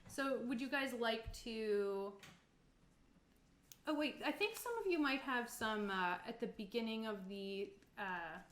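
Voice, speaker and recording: A woman's voice: fairly high pitch, animated, measured pace, fairly bright tone. Woman game master in an echoey room. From Cape Breton Island, Canada. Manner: informal, playful, conversational. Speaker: game master of a tabletop roleplaying stream. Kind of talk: casual game narration and chat.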